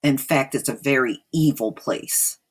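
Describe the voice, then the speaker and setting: A woman's voice: extremely low pitch, measured pace, slightly rough. An American woman giving informal opinion commentary in a blunt, disapproving, emphatic manner, repeating phrases for effect. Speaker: online commentator.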